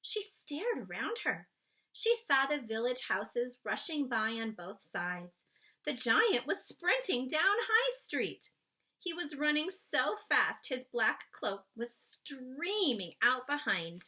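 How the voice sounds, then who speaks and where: A woman reading a children's story aloud in an expressive, suspenseful way, stretching key words for emphasis and chatting to young listeners. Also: high-pitched, takes it slowly. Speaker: children's book read-aloud reader.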